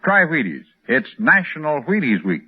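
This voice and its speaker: An American man giving a plain-spoken, earnest pitch in an old-time radio commercial. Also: wildly swooping pitch, moderate pace, husky. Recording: muffled vintage radio broadcast.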